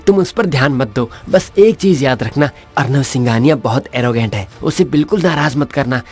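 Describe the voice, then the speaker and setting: Hindi audio drama: affable, sensible male colleague, acted by a man, medium pitch, over music. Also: moderate pace, darkish tone, close to an everyday voice. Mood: advising. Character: friendly, practical male business partner and investor. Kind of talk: Hindi audio romance acting.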